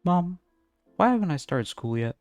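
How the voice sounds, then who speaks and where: A man's voice: sits high, moderate pace, no echo, soft background music, voice pushed up. A man voicing a young pony prince, a playful, cheeky, scheming little boy in a storybook reading. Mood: curious. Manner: excitable, cheeky, childlike.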